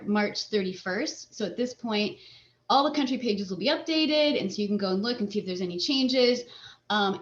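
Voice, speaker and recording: An upbeat woman advises students on a video call, her voice dull and lo-fi with muted highs. She is mid-pitched and sprinkles her updates with filler ums. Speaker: fellowship advisor. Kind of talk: online info session presentation.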